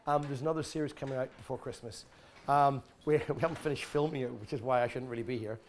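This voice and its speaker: A middle-aged man giving an informal talk and taking audience questions in a large exhibition tent, blunt and animated, swearing freely and restarting his sentences often. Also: mid-range voice, fast talker, a little hiss or hum. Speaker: guest speaker at an expo.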